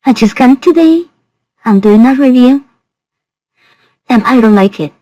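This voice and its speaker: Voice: medium pitch, lively intonation, moderate pace, mellow, dry recording. A reviewer's voice changed into a woman's by an AI voice changer, giving a casual, disapproving review. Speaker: software reviewer.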